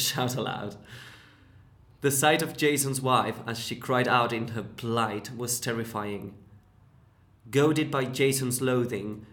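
A man with a Spanish accent reads aloud in unhurried, measured phrases. His voice sits at an ordinary middle pitch. The sound carries a roomy echo. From Spain. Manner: deliberate, with occasional restarts on words. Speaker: English learner reading aloud. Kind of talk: careful read-aloud narration.

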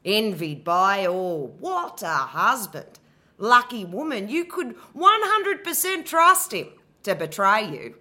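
A bitter, betrayed queen, voiced by a woman in her thirties spits sarcasm, dropping to a low mutter and then flaring up loud. The tone is bright and sharp, and the delivery is slow and weighted. It has the feel of a stage monologue performed for an audition. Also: very animated, heavily acted. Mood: sarcastic. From Australia. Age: 30s. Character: betrayed furious queen, bitter abandoned wife. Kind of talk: dramatic monologue, audition piece.

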